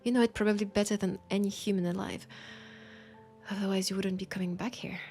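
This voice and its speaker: A woman with a French accent playing a romantic, teasing bookseller in a soft, breathy, intimate roleplay audio. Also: mid-range voice, steady tone, natural voice. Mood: fond, playful. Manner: slow, breathy, whispery, tender and playful. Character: romantic, teasing female bookseller fond of a vampire customer. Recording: music behind the voice. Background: music.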